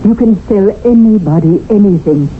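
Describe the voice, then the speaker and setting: An actress playing a seductive, goading temptress in a 1970s radio drama, with slow, insistent, coaxing delivery. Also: female voice, mid-range voice, lightly acted. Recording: tinny, band-limited old radio broadcast, faint noise.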